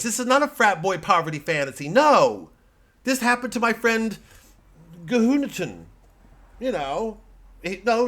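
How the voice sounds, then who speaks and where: Guy doing an outraged tv superfan, voice pushed up high, super earnest and insistent, comedy bit. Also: pushed and bright, full character voice. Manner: earnest, insistent, emphatic declarations. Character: indignant, defensive man, devout fan of a TV drama.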